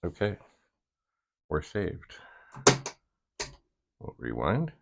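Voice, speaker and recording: A man hosting a hobby demonstration, thinking aloud in a casual, halting way and muttering asides to himself. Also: deep voice, wildly swooping pitch, gravelly, very noisy. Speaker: vintage computer hobbyist host.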